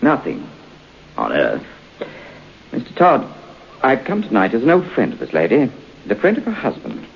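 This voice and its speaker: Solemn clergyman for radio horror, reproving and theatrical, gravelly voice with highly animated pitch, muffled vintage recording. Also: normal speed, pauses often, heavily acted. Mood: grave, pleading. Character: disapproving, earnest priest, old friend of the family. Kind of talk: old-time radio horror drama acting. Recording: noisy, dull old radio broadcast.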